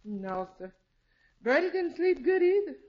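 Folksy housekeeper, high voice, deferential, hinting, muffled old radio comedy recording. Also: female voice, very animated, measured pace. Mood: matter-of-fact. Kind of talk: 1950s radio situation comedy acting.

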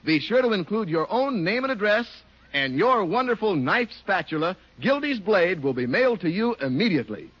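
A man's voice: high-pitched, big pitch swings, moderate pace, fairly bright tone. An American man announcing in 1950s radio advertising style, with emphatic, polished salesmanship. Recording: muffled vintage radio recording.

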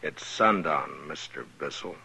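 An actor playing a terse, measured frontier marshal who also narrates in a 1950s radio western. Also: male voice, very deep voice, creaky, bright, forceful tone, breathy edge, exaggerated voice, low-quality recording. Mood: stern, controlled. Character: stern, unhurried frontier marshal of an old West town. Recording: old radio recording with music.

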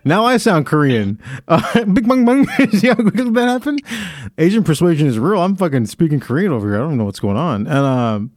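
A man's voice: fairly high pitch, very animated, fairly quick, no echo. A man hosting a podcast, reading from his phone in a casual, crude way with frequent laughter. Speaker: podcast host. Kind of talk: casual comedic chat.

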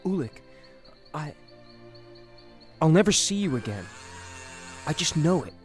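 An actor playing a loyal, worried younger brother, earnest and pleading, in an audio drama. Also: male voice, on the high side, big pitch swings, unhurried, faint music behind.

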